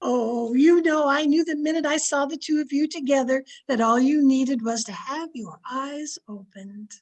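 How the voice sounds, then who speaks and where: A woman playing a meddling older aunt in an old-time radio comedy. Her voice is high and fluttery. She speaks in a gushing, sentimental way, every inch the busybody matchmaker. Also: moderate pace, natural voice, poor audio. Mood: gushing, delighted.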